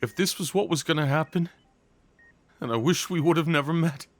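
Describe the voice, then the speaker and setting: A man playing a devoted, desperate crime boss at his lover's bedside in an intimate audio roleplay, speaking in a halting, emotional way. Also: on the high side, close to an everyday voice. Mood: anguished, self-blaming. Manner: slow, halting, emotional, pleading delivery.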